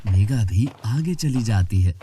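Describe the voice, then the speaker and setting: A woman's voice: rumbling low, expressive, a little slow, warm tone, soft background music. A woman narrating a scripted children's moral story as the storyteller.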